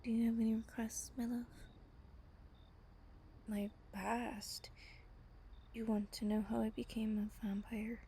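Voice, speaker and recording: A woman playing a gentle, wistful female vampire, telling a bedtime story tenderly and intimately in a breathy, whispery, soft-spoken roleplay style. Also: fairly high pitch, flat delivery, measured pace, long pauses, a little hiss or hum. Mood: tender, soothing. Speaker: voice actor in roleplay audio.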